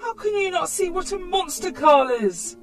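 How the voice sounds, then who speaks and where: A woman's voice: very high-pitched, animated, normal speed, pitched above the performer's own voice. Woman acting fed-up defiant mum, raised emphatic voice, storytime, music under it. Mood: outraged. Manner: exasperated, emphatic. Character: indignant, defiant young mother standing her ground.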